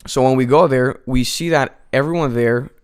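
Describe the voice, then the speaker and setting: Smooth-voiced nineteen-year-old guy. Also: mid-range voice, normal speed. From United States. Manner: chatty, many fillers and restarts. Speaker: guest. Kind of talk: casual interview chat. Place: radio studio.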